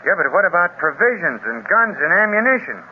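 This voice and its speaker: A tough, wisecracking sidekick talks in a slangy, folksy way. It is a 1930s radio serial adventure. The voice sounds thin and phone-like over a faint hiss. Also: male voice, high-pitched, big pitch swings, brisk. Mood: wry. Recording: tinny, hissy old radio transcription.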